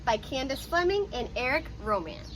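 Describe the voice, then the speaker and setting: Animated high-voiced woman reading a children's story. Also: normal speed, background noise. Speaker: read-aloud storyteller. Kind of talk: expressive children's story narration.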